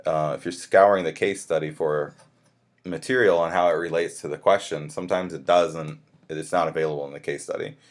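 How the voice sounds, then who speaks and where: A man's voice: a bass voice, fairly even intonation, brisk. A man speaking as a college instructor, walking students through a course in an informal, conversational and reassuring way with self-corrections. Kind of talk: informal course orientation lecture, explaining syllabus to students.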